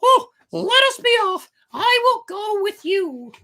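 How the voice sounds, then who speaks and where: A woman performs a storybook puppet boy with a very high, shrill little voice. He is naive and stubborn, swooping dramatically through his exclamations. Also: very animated, slow pace, pushed and bright, exaggerated voice. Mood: delighted, eager. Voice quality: squeaky, shrill.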